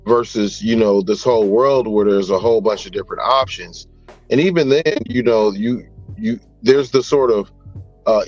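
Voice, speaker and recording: A man's voice: lowish voice, dull audio. A man on a livestream panel, talking through numbers in a loose, rambling way full of "you know" fillers. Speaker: panel guest. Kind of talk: casual livestream panel talk.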